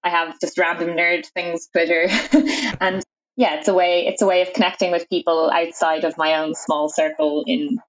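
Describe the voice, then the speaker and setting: A woman's voice: on the low side, steady tone, normal speed. Irish woman on a dull remote line. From Ireland. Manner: casual, laughs easily. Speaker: social media editor, podcast guest.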